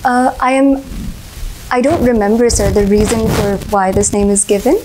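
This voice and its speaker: A young woman in her twenties with an American accent and a Hindi-speaking home, answering interview questions politely, often saying "sir" and pausing on "uh". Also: on the high side, steady tone, noisy background. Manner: polite, says sir often, frequent uh fillers, light laughs. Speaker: interview candidate. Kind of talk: formal mock interview answers.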